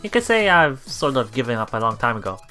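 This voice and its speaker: A man reading a visual novel aloud as a let's play streamer, haltingly, with repeats and self-corrections. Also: medium pitch, sing-song. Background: soft game music.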